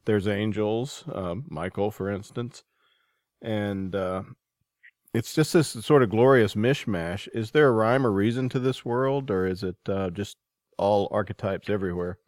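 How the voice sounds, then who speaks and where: A man hosting a conversational interview, phrasing his remarks and questions thoughtfully with hesitant pauses. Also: lowish voice, darkish tone, no room sound, studio quality. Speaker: interviewer, podcast host.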